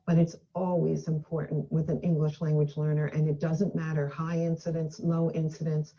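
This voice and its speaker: Dark-voiced woman in a lo-fi video clip. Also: low-pitched, flat delivery, moderate pace. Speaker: education expert. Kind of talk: recorded expert talk.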